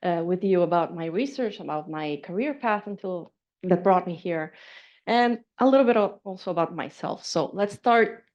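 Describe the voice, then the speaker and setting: A woman from Costa Rica giving an academic seminar talk, earnest and halting, with many fillers and restarts. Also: lowish voice, expressive, darkish tone. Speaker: seminar speaker. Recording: dull lo-fi lecture recording.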